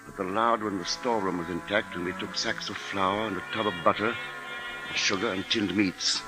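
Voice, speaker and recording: A low-voiced man in an acted part as a grim husband and father in a 1950s radio play. He is deliberate and grave, with a music underscore behind his words. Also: fairly even intonation, lightly acted. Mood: grave, foreboding. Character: grim, capable husband and father narrating a siege. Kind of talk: 1950s radio drama acting and first-person narration.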